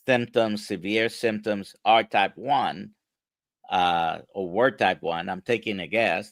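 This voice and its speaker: Gravelly-voiced Puerto Rican-born doctor explaining. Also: male voice, fairly low pitch, dry recording. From Puerto Rico. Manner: emphatic, explains with rhetorical questions. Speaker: doctor, interview guest. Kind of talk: conversational explanation.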